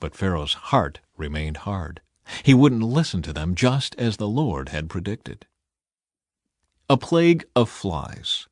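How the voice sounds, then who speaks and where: A man's voice: low-pitched, very animated, slow pace, no room sound, studio quality. A man reading scripture aloud as a scripted audiobook narration.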